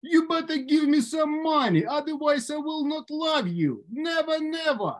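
A man's voice: very high voice, animated, measured pace, pitched above the performer's own voice. A man with a Ukrainian accent voicing his teenage son, who cheekily teases and makes demands of his father. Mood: teasing.

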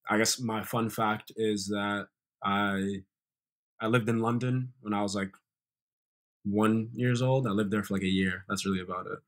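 A man's voice: on the low side, normal speed. A young man from Sierra Leone with an American accent, telling stories as a guest in a casual interview over a video call. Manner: relaxed storytelling, many fillers. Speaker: guest, former college soccer player. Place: bright room indoors, video call.

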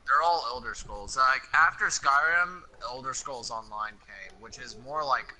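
Bright-voiced young man chatting. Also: medium pitch, low-quality recording. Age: 20s. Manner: chatty, quick to laugh. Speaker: podcast co-host. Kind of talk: casual chat.

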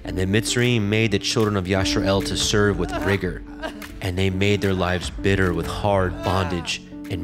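A man narrating scripture from a script in a solemn, measured way. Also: low voice. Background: music.

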